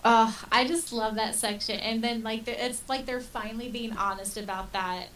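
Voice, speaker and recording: A woman's voice: on the high side, fairly even intonation, normal speed, a bit bright. A woman co-hosting a podcast, chatting casually as a fan in an informal discussion. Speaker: podcast co-host. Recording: distant mic with faint hiss.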